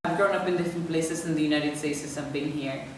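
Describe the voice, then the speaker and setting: A young woman from Venezuela with a low voice speaks thoughtfully, reaching often for fillers as she reflects on her identity. She was recorded from some distance in a very echoey room with a faint hiss behind her. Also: steady tone, fast. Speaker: interviewee. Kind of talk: personal reflection.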